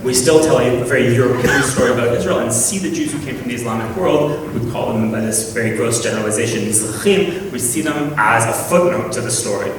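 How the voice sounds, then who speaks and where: A man from Canada, giving a measured, reflective panel talk with frequent ums and restarts. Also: mid-range voice, slight background noise. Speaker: panel speaker. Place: large auditorium. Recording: echoey hall, far from the mic.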